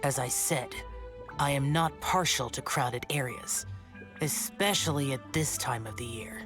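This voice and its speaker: A woman's voice: very deep voice, unhurried. A woman voicing an aloof, brooding young male immortal guardian in a video game, curt, formal and dismissive. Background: music.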